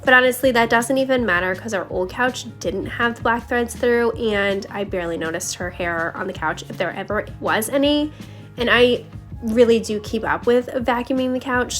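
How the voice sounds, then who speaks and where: A woman's voice: fairly high pitch, normal speed. A woman giving a casual, confiding voice-over narration as a home vlogger, talking straight to viewers with a slightly scratchy voice. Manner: chatty, confiding, addresses viewers directly. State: slightly scratchy voice, needs to clear throat. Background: background music.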